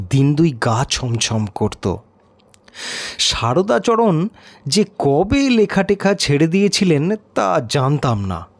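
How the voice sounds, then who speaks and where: A man reading a scripted audio story in a measured, expressive, dramatic way. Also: medium pitch. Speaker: story narrator and reader.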